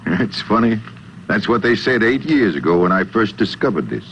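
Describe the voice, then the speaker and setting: An actor playing a troubled, absent-minded professor in 1950s American radio drama, with a hesitant, formal, brooding manner. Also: male voice, fairly low pitch, very animated, moderate pace, fairly bright tone. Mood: grave, recounting. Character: troubled, absent-minded male professor with a frightening mental power. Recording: muffled 1950s radio recording, light noise.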